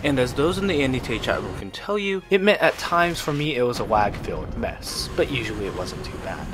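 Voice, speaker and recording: A man's voice: medium pitch, big pitch swings, fairly quick, background noise. A young man reading a scripted guide as a review voice-over. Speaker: video guide narrator. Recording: music behind the voice. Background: background music.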